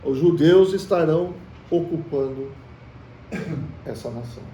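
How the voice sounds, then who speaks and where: A man with a Brazilian Portuguese accent leads a church Bible study, quizzing his listeners and calling them brothers. His voice sits a little high but sounds mellow. He is heard from across a reverberant room. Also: a little slow. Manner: conversational, quizzes the group with questions. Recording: echoey room, from far off, faint hiss.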